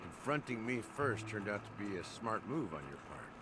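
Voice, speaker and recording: Swooping, wry old investigator. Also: male voice, fairly low pitch, sing-song, normal speed, background noise. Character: wry, world-weary older male investigator. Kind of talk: video game voice acting.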